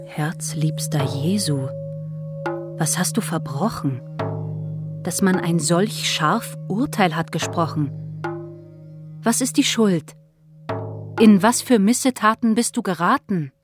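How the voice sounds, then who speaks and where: A woman's voice: lowish voice, lively intonation, slow, pauses often, over loud music. A young woman playing a devout mourner, reciting lamenting verse breathily in a radio drama. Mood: mournful. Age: young adult.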